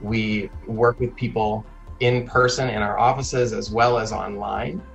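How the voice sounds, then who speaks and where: A man who works as a clinician, explaining services in a clear, informative interview manner from a room at home. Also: fairly low pitch, steady tone, measured pace, faint music behind.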